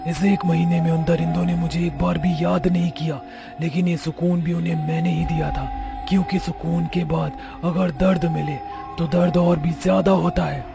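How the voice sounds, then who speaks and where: A woman playing the vengeful, protective ghost of a murdered daughter-in-law in a dramatic cartoon, with a creaky, gravelly voice. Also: fairly low pitch, normal speed. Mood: brooding, resolute. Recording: music behind the voice. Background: clearly audible music.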